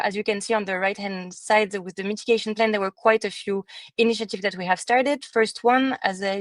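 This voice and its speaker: Woman presenting research, measured with fillers. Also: medium pitch, dry recording. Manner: measured, with frequent fillers and restarts. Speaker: student presenter. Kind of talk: academic conference presentation. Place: conference room.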